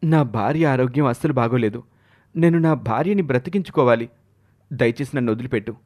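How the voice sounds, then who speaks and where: A man's voice: mid-range voice, lively intonation, a little fast, darkish tone, natural voice. A man voicing an anxious, devoted husband in a storybook tale, pleading earnestly.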